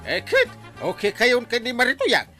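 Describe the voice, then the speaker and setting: A man's voice: extremely high pitch, huge pitch swings, moderate pace, sharp tone, soft background music, full character voice. A man with an Ilocano Filipino accent playing an earnest, peacemaking village captain in a radio comedy-drama, exhorting expressively. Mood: earnest counsel.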